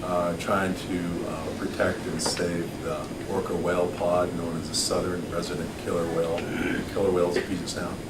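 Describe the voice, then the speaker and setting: Deep-voiced man in an echoey hall. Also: level tone, moderate pace. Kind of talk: public forum testimony. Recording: echoey distant hall recording with noise.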